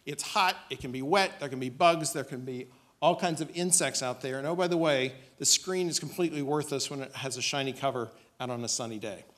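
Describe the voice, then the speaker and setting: Man pitching a startup on stage. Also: brisk, a bit bright. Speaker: startup presenter. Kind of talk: business pitch presentation. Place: on stage in a dim auditorium.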